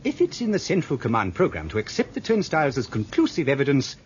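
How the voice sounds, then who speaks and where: A man's voice: medium pitch, wildly swooping pitch, quick pace. A man playing a computer programmer in a radio drama, explaining things patiently and chattily. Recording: dull lo-fi audio with faint noise.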